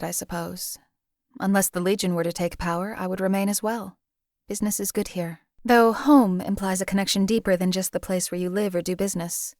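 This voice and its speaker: A woman's voice: medium pitch, darkish tone, no echo, lightly acted. A woman voicing a cold, detached female assassin companion in a video game, talking in a dry, coolly contemptuous way. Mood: reflective.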